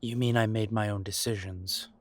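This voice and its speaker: A man's voice: fairly low pitch, steady tone, moderate pace, lightly acted. A man playing a wounded, bitter werewolf son in an audio drama, guarded and weary with a sarcastic edge. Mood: sarcastic, defiant. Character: bitter, wounded adult werewolf son confronting his parents. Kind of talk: audio roleplay drama acting.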